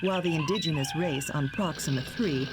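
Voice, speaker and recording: An actor playing a formal, authoritative fleet commander in a video game, briefing in an official tone. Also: male voice, a little high, brisk, lightly acted. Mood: official, businesslike. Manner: official, crisp briefing delivery. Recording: game audio with music behind.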